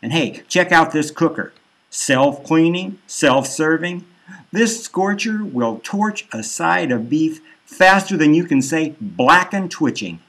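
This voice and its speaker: A man voicing a pushy, chummy virtual pub buddy who talks in hyped, hard-selling pitchman patter. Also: animated, slow, fairly bright tone, full character voice. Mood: eager, hard-selling.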